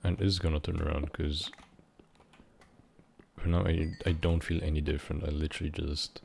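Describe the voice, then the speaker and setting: A young man of about nineteen from Switzerland, rambling unscripted in a halting, meandering way while he plays a game, with casual swearing. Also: rumbling low, little pitch movement, gravelly, a little mellow, a little hiss or hum. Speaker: gaming vlogger.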